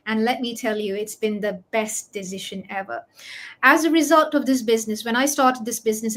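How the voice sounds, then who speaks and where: A woman's voice: fairly high pitch, fairly bright tone. A middle-aged woman hosting a live promotional talk on an online video call. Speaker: host, online business coach.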